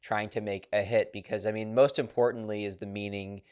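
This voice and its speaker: Low, flat-voiced male podcast host. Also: brisk, clean tone. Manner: effusive, stumbling with praise. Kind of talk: casual interview.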